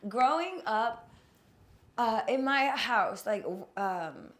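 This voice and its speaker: Woman from japan, bit raspy, kinda high voice, cheerful and chatty. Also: expressive, takes it slowly. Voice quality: slightly raspy. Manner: cheerful, mixes in Japanese words. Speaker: singer, podcast guest. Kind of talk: casual interview chat.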